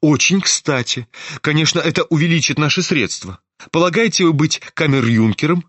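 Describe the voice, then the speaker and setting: A man voicing a proud, sharp-tongued young noblewoman in a storybook reading, mocking and imperious. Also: fairly high pitch, expressive, normal speed, no room sound, pitched above the performer's own voice.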